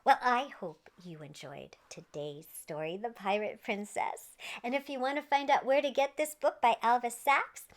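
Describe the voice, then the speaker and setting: A woman's voice: on the high side, normal speed. A woman reading a children's story aloud in a playful, theatrical way with exaggerated emphasis. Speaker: children's storybook reader and host.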